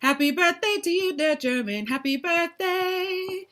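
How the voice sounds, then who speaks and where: A woman singing a birthday song slowly and sweetly on a video call. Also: extremely high pitch, fairly bright tone.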